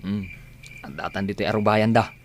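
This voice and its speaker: An actor playing a nosy, teasing village sidekick in an Ilocano radio comedy drama, with an Ilocano accent. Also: male voice, fairly low pitch, normal speed, slight background noise, close to an everyday voice. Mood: teasing, curious.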